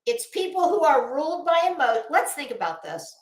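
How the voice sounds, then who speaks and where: High voiced lady ranting on a livestream, slow and emphatic, super blunt, kinda echoey room. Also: sing-song. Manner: blunt, scornful, emphatic, with deliberate repetition. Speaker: livestream host. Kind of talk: opinionated livestream commentary to viewers.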